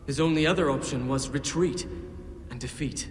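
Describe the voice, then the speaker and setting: A man's voice: medium pitch, normal speed, long reverb tail, natural voice. A man playing a young adventurer prince in a video game, wry and earnest, cocky yet concerned for others. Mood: grave, reflective. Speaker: protagonist and narrator. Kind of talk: video game cinematic acting and narration.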